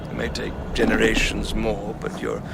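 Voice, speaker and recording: A man's voice: fairly low pitch, monotone, moderate pace, vocal fry, heavy background noise. An actor in a radio drama playing a despairing, haunted male survivor of an alien invasion, with an anguished, stammering, theatrical delivery. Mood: bleak, brooding. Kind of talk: dramatic radio play acting.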